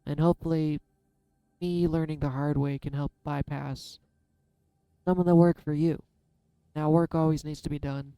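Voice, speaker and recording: Man, tarot reader, soft-spoken, smooth dark tone, candid vlog delivery, faint music underneath. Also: a little high, little pitch movement, normal speed, pauses often, no room sound. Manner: candid, self-deprecating, musing aloud. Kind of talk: casual reflective vlog.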